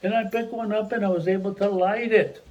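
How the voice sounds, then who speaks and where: A man's voice: sits high, fairly quick, lo-fi sound. Older Mexican-born man telling stories. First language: Spanish. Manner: rambling, animated storyteller who restarts sentences. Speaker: retired Spanish teacher. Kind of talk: family storytelling.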